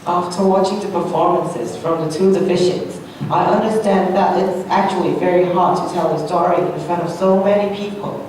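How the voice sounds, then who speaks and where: A woman's voice: fairly even intonation, moderate pace, darkish tone. A woman hosting a stage event, announcing in a scripted, formal way. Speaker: event host. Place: large hall with audience. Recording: reverberant hall, distant mic, faint rumble.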